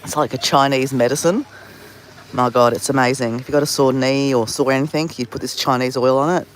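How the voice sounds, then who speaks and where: A man's voice: medium pitch, fairly even intonation, brisk, slight background noise, low-quality recording. A man with a sore throat and a nasal voice, chatting casually on a live-stream vlog from an outdoor beach, talking into a phone held at arm's length. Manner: chatty, rambling, greets viewers by name. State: sore throat, has flu. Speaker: travel vlogger.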